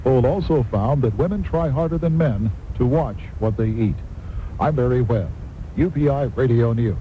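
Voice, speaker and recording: A man's voice: normal speed, warm tone. A man working as a radio news anchor, reading a scripted newscast. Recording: noisy old radio aircheck tape, low rumble.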